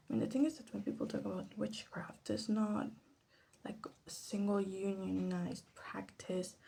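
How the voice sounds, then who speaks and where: Hushed, creaky-voiced woman doing soft ASMR commentary. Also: mid-range voice. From Latin America. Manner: hushed, often slipping into a whisper; hesitant, musing, self-deprecating. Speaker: ASMR video host. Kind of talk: soft-spoken ASMR commentary while demonstrating. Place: bedroom. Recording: faint background noise, clicking sounds.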